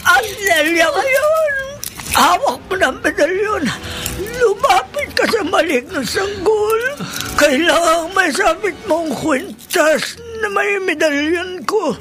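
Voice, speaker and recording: An older village woman in a horror radio play. She wails urgent commands in a very high, slow, straining voice, with clear music behind her. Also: lively intonation, exaggerated voice. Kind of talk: horror radio drama acting.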